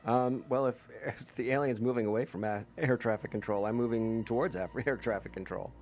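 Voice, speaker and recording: A man's voice: medium pitch, fairly even intonation, fairly quick, darkish tone. A man chatting casually as a player in a tabletop game, in a hesitant, dry way. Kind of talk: casual chat.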